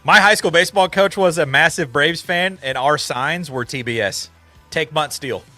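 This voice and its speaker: A man's voice: fairly high pitch, normal speed, pushed and bright, dry recording, faint music behind. A man co-hosting a casual sports chat, talking emphatically and filling his speech with lots of "like"s. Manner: emphatic, filler-heavy with many "like"s. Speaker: co-host.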